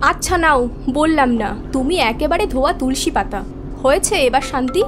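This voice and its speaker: An actress as a sharp-tongued, suspicious wife in a cartoon drama. Her voice is high and insistent, full of scolding and sarcasm. Soft music plays behind her. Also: lively intonation, fairly quick. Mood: scolding, sarcastic. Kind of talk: animated story acting.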